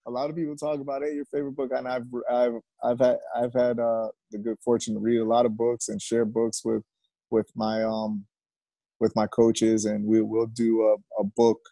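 A middle-aged man, a football coach being interviewed on a video call, speaking reflectively and haltingly with repeated words. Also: mid-range voice, flat delivery, warm tone, dry recording, rough recording. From Jamaica and United States. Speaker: high school football coach, interview guest. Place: covered outdoor porch.

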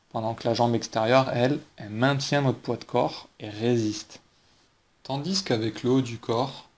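A man coaching skiing, talking calmly over slow-motion footage and walking through each movement with rhetorical questions. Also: mid-range voice. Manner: patient, explains step by step with rhetorical questions. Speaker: ski coach.

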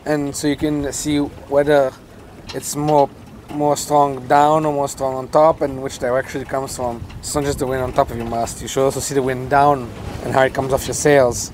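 A man's voice: medium pitch, level tone, normal speed, a little mellow. A man in his thirties firmly correcting and explaining wind on a sailboat, outdoors with a handheld mic. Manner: firm, corrects the other speaker directly. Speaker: sailing vlogger, co-host. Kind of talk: casual correction in conversation. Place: outdoors on a sailboat under a canopy. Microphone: handheld furry mic. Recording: faint wind and water noise.

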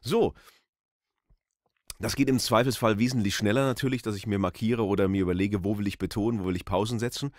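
A man's voice: lowish voice, fairly even intonation, a little fast, a little mellow, dry recording. A man, a voice artist giving an explanatory tutorial into a close studio microphone. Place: small voice-over studio booth. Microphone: close studio microphone on a boom arm.

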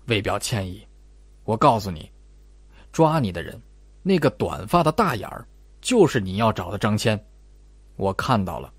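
Man voicing a low, cool-headed policeman. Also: very animated, normal speed, long pauses, slightly rough, no room sound, heavily acted. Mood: quiet, confiding. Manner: cool, matter-of-fact. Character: cold, terse, scheming male policeman.

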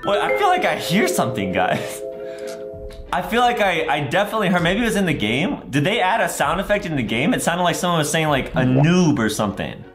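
A man in his twenties hosting excitable gameplay commentary for kids, with exaggerated, theatrical reactions. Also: on the high side, reverberant, faint music behind. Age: 20s. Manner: exaggerated, theatrical reactions with shouts and drawn-out words. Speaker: gaming video host. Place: indoor room with bare walls.

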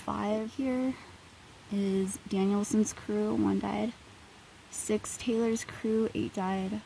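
A woman's voice: mid-range voice, steady tone, drawn-out words, creaky, warm tone, slight background noise, low-quality recording. A woman vlogging on a forest hike, musing as she points out spots on a map. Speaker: hiker vlogger. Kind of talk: casual on-trail commentary. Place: outdoors on a forest trail.

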